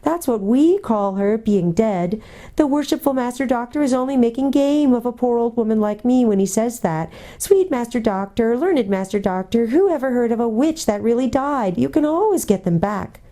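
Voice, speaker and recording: A woman voicing a fawning, sinister old hag in a storybook, with a shrill, whining, wheedling delivery. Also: expressive, normal speed, warm tone, close to an everyday voice. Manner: fawning, mock-humble.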